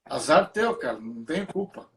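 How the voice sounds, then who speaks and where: Man, guest pastor, joking and informal, slightly high voice, video call. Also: big pitch swings, moderate pace, background noise. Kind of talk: casual livestream chat.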